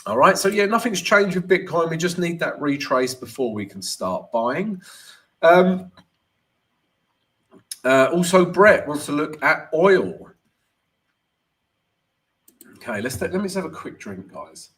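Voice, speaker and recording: A man's voice: a little high, big pitch swings, a little slow, pauses often, fairly bright tone. Stuffy-nosed man hosting a livestream. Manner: chatty, addresses viewers as guys, frequent "right?". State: congested, stuffy nose. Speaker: trading livestream host. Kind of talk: live stream chat, chart analysis.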